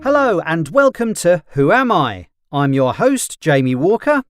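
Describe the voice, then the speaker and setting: A man hosting a scripted show, presenting in a bright, upbeat manner. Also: a little high, big pitch swings, a little slow, no room sound, clean studio sound, faint music behind. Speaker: show host. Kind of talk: scripted course-book radio show.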